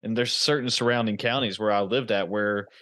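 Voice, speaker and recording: A man's voice: on the low side, fairly even intonation, brisk, pushed and bright, no echo, clean studio sound. A man from rural Virginia hosting a casual interview, talking in a rambling, informal way. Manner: rambling, informal, with many fillers. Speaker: podcast host.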